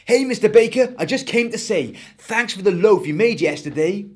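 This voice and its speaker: A man voicing an excitable, grateful little boy in a children's story, exclaiming exuberantly. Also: high voice, normal speed, pitched above the performer's own voice. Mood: cheering gratitude.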